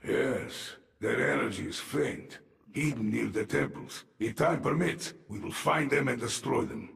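An actor playing a brooding half-man, half-alien admiral in a video game, with a Russian accent and a gravelly, formal, grave delivery. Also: mid-range voice, measured pace, fairly bright tone, reverberant, in a large hall, distant mic. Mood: commanding. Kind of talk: dramatic video game acting.

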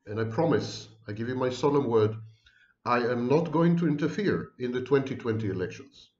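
A man's voice: mid-range voice, lively intonation, moderate pace, a little mellow. Middle-aged man, ironic lecture tone, roomy dull webcam sound. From Israel. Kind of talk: monologue to camera. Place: home room.

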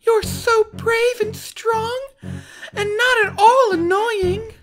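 Eerie, very high little girl spirit. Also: fairly even intonation, slow, faint music behind. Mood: falsely sweet. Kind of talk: fan-dub game parody acting.

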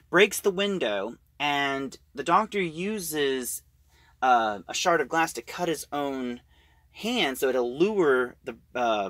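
Guy casually recapping an audio drama, bright voice, dry close sound. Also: medium pitch, no echo.

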